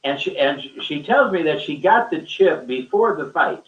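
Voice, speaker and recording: A man's voice: on the high side, lively intonation, normal speed, fairly bright tone. Distant, echoey man telling a rambling story. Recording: roomy echo, distant mic, muffled sound.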